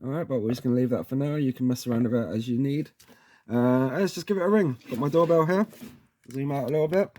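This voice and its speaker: A man with a medium-pitched voice presents a how-to tech tutorial. He talks informally and chattily, moving step by step and often checking in with short tags as he explains. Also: fast talker, a little mellow. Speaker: presenter of a product tutorial series.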